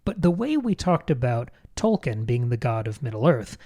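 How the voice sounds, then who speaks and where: A man with a soft-edged, mellow voice talks through a book as a podcast co-host. He is reflective and articulate, in a relaxed conversational register. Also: medium pitch, normal speed.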